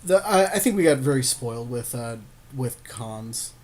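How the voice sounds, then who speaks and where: Mid-pitched man talking through game plays. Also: animated, moderate pace, a little mellow. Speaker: podcast co-host playing a card game. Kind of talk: casual game commentary chat.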